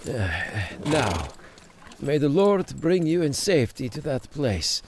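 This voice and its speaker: An actor playing a weak, grateful, pious man revived from near death, speaking in a halting, reverent way for a biblical audio drama. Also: fairly high pitch, big pitch swings, noisy background, heavily acted. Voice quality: gravelly.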